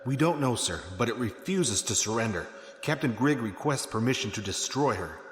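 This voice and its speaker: An actor playing a dutiful military lieutenant in a sci-fi audio drama, making formal, urgent reports. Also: male voice, mid-range voice, lively intonation, normal speed, natural voice. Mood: formal concern. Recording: echoey hall reverb, noisy ambience.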